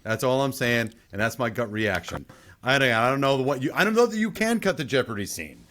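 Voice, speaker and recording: Male podcast co-host, average pitch. Casual reviewer who hedges and restates his opinions. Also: expressive, brisk, fairly bright tone. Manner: hedging, repeats himself for emphasis.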